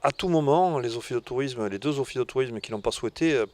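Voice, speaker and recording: A man speaking in an interview as a director, halting and repeating his words. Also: mid-range voice, a little mellow. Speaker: tourist office director. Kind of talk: spoken interview answers.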